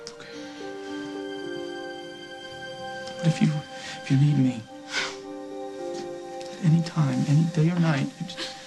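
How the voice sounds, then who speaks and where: An actor playing a devoted, protective and stubborn lover in a soap opera, speaking earnestly and haltingly as he pleads, with music underneath. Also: on the high side, steady tone, creaky. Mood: apologetic, devoted. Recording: TV drama with prominent music underneath.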